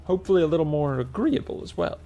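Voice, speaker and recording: A man's voice: on the high side, expressive, fast, gravelly, warm tone, pitched above the performer's own voice. A man playing a nervous, placating fellow in an audiobook, hesitant and trying to sound unassuming. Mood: nervously placating.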